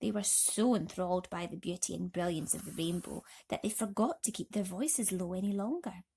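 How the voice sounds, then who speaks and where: A woman's voice: on the low side, moderate pace, a little mellow, breathy edge. A woman narrating a children's bedtime story expressively.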